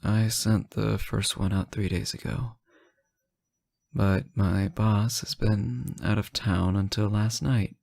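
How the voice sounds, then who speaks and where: A man playing a sleep-deprived, teasing boyfriend on an intimate late-night call, soft-spoken, hesitant and gently joking. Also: lowish voice, steady tone, slow, gravelly, dry recording, studio quality, heavily acted. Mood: tired, apologetic. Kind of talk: intimate audio roleplay, one side of a phone call.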